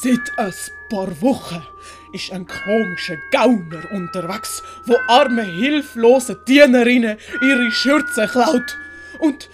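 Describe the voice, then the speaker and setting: A woman's voice: on the high side, slow, music in the background. A woman voicing an anxious, gossipy servant in a comic audiobook, earnest and cautioning. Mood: worried warning. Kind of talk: German audiobook commedia dell'arte acting.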